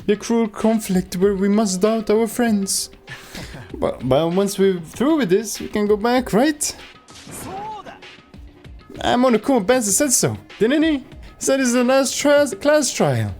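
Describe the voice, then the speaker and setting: A man's voice: high voice, very animated, unhurried, darkish tone, soft background music, voice pushed up. A man with a Swedish accent voicing an anxious young student from a game, reading its lines aloud.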